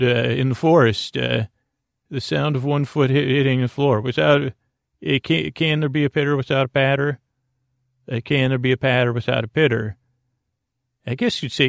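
A man with a lot of fry in his voice hosts a sleep podcast. He rambles haltingly, circling back on words and wandering into whimsical tangents. The sound is very dry, with no room around it. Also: medium pitch, no room sound. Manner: halting, meandering, repetitive, drifting into whimsical asides. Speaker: podcast host. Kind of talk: rambling bedtime podcast monologue.

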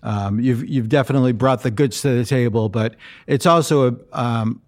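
A man's voice: medium pitch, normal speed. A middle-aged man interviewing a singer, chatty and full of compliments, with frequent ums. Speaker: radio interviewer.

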